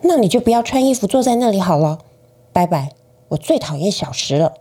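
A woman playing an exasperated schoolboy big brother in a radio-play scene, with a husky voice. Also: medium pitch, big pitch swings, a little fast, mellow. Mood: angry. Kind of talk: radio-drama style acting.

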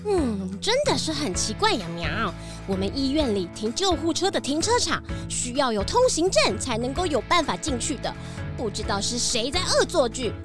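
High, cutesy cat paramedic. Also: female voice, very animated, moderate pace, music in the background. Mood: puzzled. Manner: cutesy, tags sentences with a meow. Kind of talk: children's audio drama acting.

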